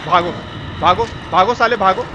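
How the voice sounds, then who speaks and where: A man with an eastern Indian Hindi accent giving casual, excitable, slangy gameplay commentary as a live-streamer over game sound. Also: high-pitched, normal speed, lo-fi sound. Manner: excitable, crude slangy exclamations. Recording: noisy game sound behind voice. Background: horror game sound.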